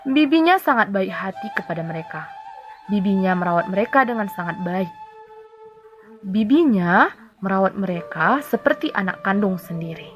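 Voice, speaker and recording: A young woman narrates a traditional folktale in a mid-pitched voice. She delivers it deliberately, pausing between phrases. Music plays faintly behind her. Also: expressive, fairly bright tone. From North Sumatra, Indonesia. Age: young adult. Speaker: student storyteller.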